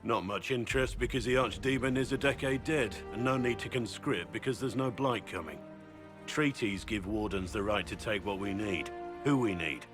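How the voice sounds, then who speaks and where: Man acting a blunt, low-voiced seasoned warrior. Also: close to an everyday voice. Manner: blunt, earnest, plain-spoken. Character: gruff, seasoned male warrior recruiter of an ancient order. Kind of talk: dramatic fantasy game dialogue. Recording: background music under the voice.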